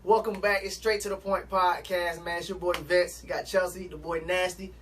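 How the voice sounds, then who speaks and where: A man's voice: sits high, fairly even intonation, moderate pace, far from the mic, over music. A man hosting a podcast, casual and upbeat with slang. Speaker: podcast host.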